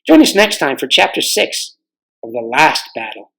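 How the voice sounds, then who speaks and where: A man's voice: medium pitch, sing-song, moderate pace, creaky, fairly bright tone. A man reading a storybook aloud as narrator. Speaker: volunteer storybook reader.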